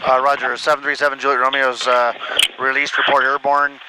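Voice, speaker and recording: A man's voice: mid-range voice, level tone, brisk. An American man giving casual, matey flight instruction from the cockpit of a small plane over a headset. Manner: informal, matey. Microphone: aviation headset. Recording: cockpit intercom with faint engine noise.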